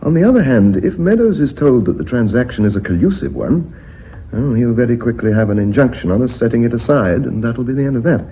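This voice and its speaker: Male actor: scheming British company man, formal, self-assured, expressive pitch; noisy vintage radio sound. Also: fairly quick, natural voice. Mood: measured, emphatic. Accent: British English. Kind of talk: British radio drama acting. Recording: muffled, noisy old radio recording.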